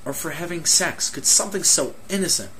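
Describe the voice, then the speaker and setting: A young man from Egypt giving a personal testimony in an earnest, measured way, with many rhetorical questions. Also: fairly high pitch, sharp tone, faint music behind, rough recording.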